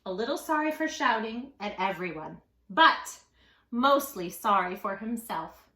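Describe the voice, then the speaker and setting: A woman hosting a read-aloud for young children, expressive and emphatic, speaking straight to the listener. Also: medium pitch, measured pace, echoey room. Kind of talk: animated picture-book reading for young children.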